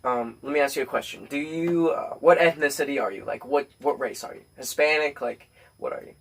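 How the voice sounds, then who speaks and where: A man's voice: mid-range voice, fairly quick. A tired Puerto Rican man who hasn't slept, a podcast guest who rambles and keeps restarting his points. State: tired from no sleep. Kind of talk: heated casual argument. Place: host's home.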